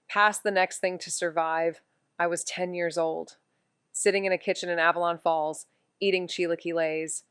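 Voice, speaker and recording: Actress as a smooth-voiced, introspective woman sleuth. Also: mid-range voice, no echo, clean studio sound, natural voice. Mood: reflective, hopeful. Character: wry, introspective, guarded woman amateur investigator. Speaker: actor in a neo-noir mystery podcast. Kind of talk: naturalistic audio drama dialogue and narration.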